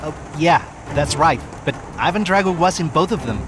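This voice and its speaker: Man acting as geeky young superhero, flippant, animated high voice, lively swings, video game hero. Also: moderate pace, noisy background, lightly acted. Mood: joking, confident. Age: young adult. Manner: flippant, talkative, pop-culture joking. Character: wisecracking young male amateur superhero, cocky and geeky. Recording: loud music behind game dialogue.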